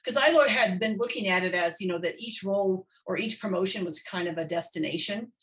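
Woman podcast host, conversational, affirming, mid-pitched. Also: brisk, fairly bright tone. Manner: chatty, affirming, tells anecdotes. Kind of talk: conversational interview.

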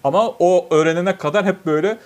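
A man's voice: high voice, fast, a little mellow. A young adult man, chatting casually as a podcast guest. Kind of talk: casual chat, anecdotes.

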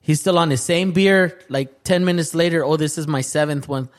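चुटकुले करता, बातूनी आदमी. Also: male voice, a little high, normal speed. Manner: animated, teasing, switches between English and Spanish. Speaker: podcast co-host. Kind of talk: casual chat among friends.

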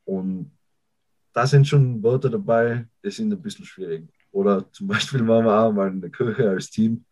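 A young man with an Austrian accent, a college football player answering interview questions in a halting way, often restarting sentences. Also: fairly low pitch, darkish tone. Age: young adult. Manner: halting, restarts sentences while searching for words. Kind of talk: casual interview talk.

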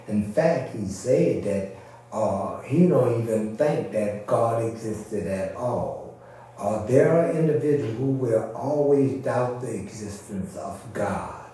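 A man's voice: lowish voice, warm tone. A man preaching an informal, explanatory sermon in a church service. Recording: very echoey room, distant mic, hum.

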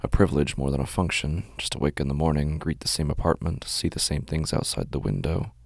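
A man playing a weary, anxious shut-in, narrating a horror audio drama in a halting, hushed, introspective way. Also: extremely low pitch, creaky, no room sound, clean studio sound, voice pushed down, heavily acted. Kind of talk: first-person horror audio drama monologue.

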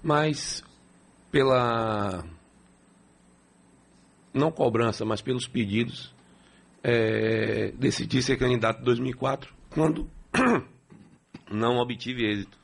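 A man's voice: mid-range voice, slow pace, gravelly, long pauses. A man, answering interview questions plainly and steadily as a city council president. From Salvador, Brazil. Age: 50s.

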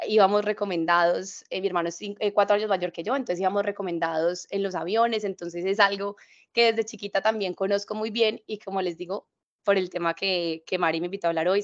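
A woman's voice: medium pitch, muffled recording. Chatty Colombian woman with mixed accent. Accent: Colombian, mix of Cali and Paisa. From Cali, Colombia. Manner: chatty and reflective, with fillers. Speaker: podcast guest. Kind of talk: casual interview chat.

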